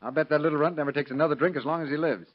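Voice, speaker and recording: An actor playing a cynical police partner, sardonic and slangy, in 1930s radio crime drama style. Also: male voice, on the high side, very fast, natural voice. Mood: cynical, assured. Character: cynical, wisecracking police partner who scoffs at hunches. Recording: dull lo-fi vintage radio recording.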